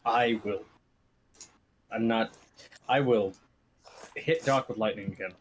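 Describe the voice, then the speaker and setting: A man playing a tabletop game on a live stream, teasing and quick to laugh. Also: fairly low pitch. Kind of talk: joking tabletop game banter. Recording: faint background noise.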